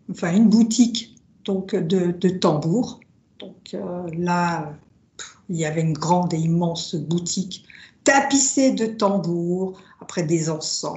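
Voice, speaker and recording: A woman born in France to Italian parents, with Italian as her first language, telling her story slowly with many pauses and fillers. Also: lowish voice, a little mellow. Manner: hesitant, many fillers, reflective. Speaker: drum maker, guest. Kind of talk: casual interview, personal story. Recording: roomy, dull lo-fi sound.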